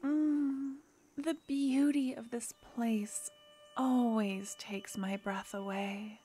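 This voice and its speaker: Gentle necromancer girlfriend voiced by a woman, soft, intimate, drifting into whispers, very slow. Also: fairly high pitch, fairly even intonation, a little hiss or hum. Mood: tender. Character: gentle young female necromancer girlfriend, a politician. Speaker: ASMR roleplay performer. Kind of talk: ASMR romantic fantasy roleplay.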